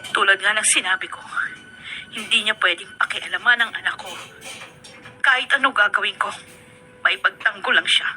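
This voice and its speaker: A woman's voice: medium pitch, moderate pace, long pauses, pushed and bright. Determined, protective Filipino mother. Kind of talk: Filipino radio drama acting.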